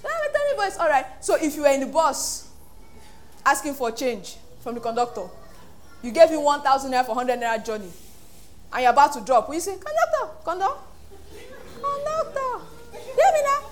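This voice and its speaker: A Nigerian woman pastor preaching an animated sermon, acting out voices and laughing often. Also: high-pitched, brisk, long pauses.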